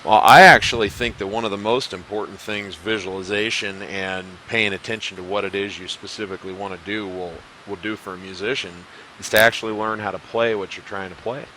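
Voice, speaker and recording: A man's voice: fairly low pitch, fairly even intonation, fairly quick, bright, forceful tone, a little hiss or hum, poor audio. A man hosting a casual music interview, asking long, winding questions with enthusiasm.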